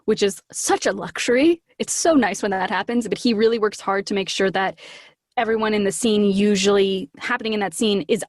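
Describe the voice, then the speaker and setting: A woman's voice: mid-range voice, a little fast, no echo. Bubbly woman guest, chatting in a dry recording. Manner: bubbly, enthusiastic, breaks into acted quotes. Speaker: podcast guest, voice actor. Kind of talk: casual, animated interview chat.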